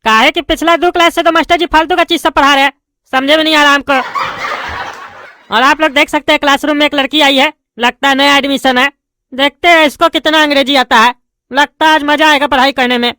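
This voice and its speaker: Woman doing a bald politician guy, squeaky bright voice, argues nonstop, dry sound. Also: fairly even intonation, brisk, dry recording. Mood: gossipy. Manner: argumentative, indignant, bossy. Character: brash bald male neighborhood politician, touchy about respect. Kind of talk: cartoon comedy voice acting.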